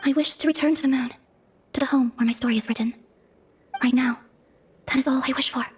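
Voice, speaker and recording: A woman playing a serene, enigmatic young moon goddess in a video game cutscene, speaking formally, softly and with detachment. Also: high voice, steady tone, natural voice. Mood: faint, wistful. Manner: measured formal phrasing, soft, detached.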